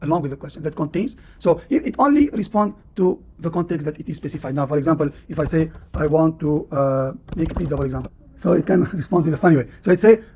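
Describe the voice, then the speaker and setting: A man's voice: on the high side, lively intonation, fast talker, darkish tone, slight background noise. A man with a Tunisian accent, a professor giving a classroom lecture and explaining at length with many "uh"s and "you know"s. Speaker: university professor.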